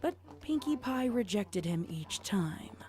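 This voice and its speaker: A woman reading scripted story narration as the narrator of a dark tale. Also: mid-range voice, sing-song, slow. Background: music.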